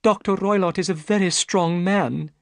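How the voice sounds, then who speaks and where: A man's voice: sits high, fairly even intonation, moderate pace, creaky, dry recording, clean studio sound, pitched above the performer's own voice. A man voicing a frightened young woman who pleads for help, with earnest, breathless delivery. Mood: anxious. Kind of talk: audiobook character reading.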